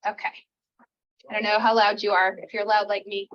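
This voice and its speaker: A woman's voice: medium pitch, flat delivery, fairly quick. A woman on the venue staff, chatty and upbeat, welcoming the audience and making announcements. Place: meeting room. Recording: dull lo-fi room recording.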